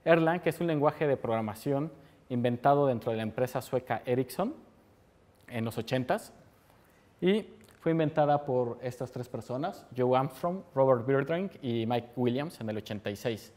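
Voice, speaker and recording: A man's voice: mid-range voice, lively intonation, normal speed, darkish tone. A man in his thirties from Mexico with a Mexican Spanish accent, giving a technical conference talk and answering his own questions as he explains. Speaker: conference speaker. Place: lecture room with audience.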